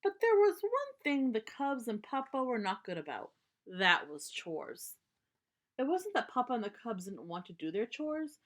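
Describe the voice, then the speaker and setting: A woman reading a children's storybook aloud expressively, pausing to explain words simply in an emphatic way for young listeners. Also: on the high side, sing-song.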